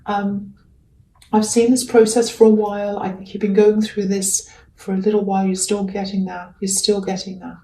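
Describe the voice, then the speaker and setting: A woman's voice: mid-range voice, little pitch movement, normal speed, creaky, dark tone. Casting: female tarot reader, casual and hesitant, reassuring, slightly crackly voice; roomy sound, set back from the microphone. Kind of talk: casual, improvised tarot reading. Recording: roomy echo, distant from mic.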